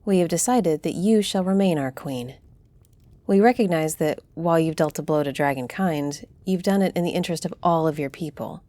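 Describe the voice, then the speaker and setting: A woman portrays a gracious noblewoman councillor in a fantasy audio roleplay. Her voice is on the low side, soft-edged and mellow, recorded dry. She speaks with formal, deliberate dignity. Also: no room sound, close to an everyday voice. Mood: solemn, gracious.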